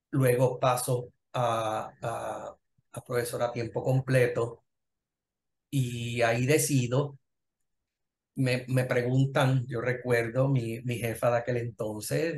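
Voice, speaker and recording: Middle-aged Puerto Rican man recounting his career. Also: mid-range voice. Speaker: interview guest, special education professor. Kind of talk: conversational personal career account.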